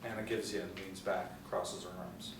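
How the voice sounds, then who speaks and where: A man's voice: low-pitched, little pitch movement, normal speed. A young adult man narrating stage directions as a scripted reading at a table read. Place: small meeting room, seated in a row. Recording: echoey room, distant mic, faint noise.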